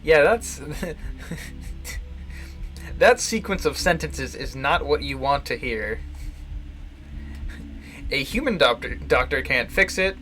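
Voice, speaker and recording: A man giving casual live playthrough commentary, wry and halting, often restarting his phrases. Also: on the high side, animated, bright, forceful tone, soft background music. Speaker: streamer playing a visual novel.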